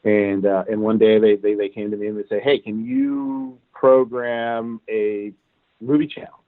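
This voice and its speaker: A man from Cuba, a guest in an interview, telling how his career went in an animated, casual way. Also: fairly low pitch, a little slow, gravelly, no room sound. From Cuban. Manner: animated, recounts events at length. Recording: tinny phone-like line, dry.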